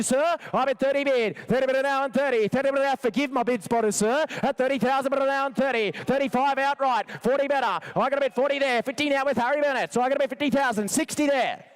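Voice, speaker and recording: High-pitched man, auctioneer's rhythmic chant. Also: clean tone. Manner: repetitive, insistent bid-calling. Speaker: livestock auctioneer. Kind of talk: rapid rhythmic auction chant calling bids. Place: sales arena.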